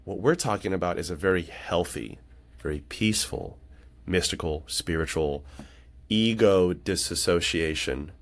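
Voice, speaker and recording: Deep-voiced man in casual conversation. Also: moderate pace. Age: late 30s. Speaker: podcast conversation partner. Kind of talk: casual podcast chat.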